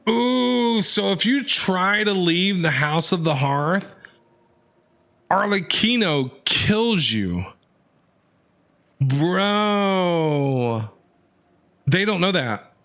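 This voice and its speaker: Casting: male streamer, casual and reactive, lively melodic delivery, thinks aloud over gameplay. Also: high voice, extremely slow, pauses often. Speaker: game streamer. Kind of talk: casual live commentary on gameplay.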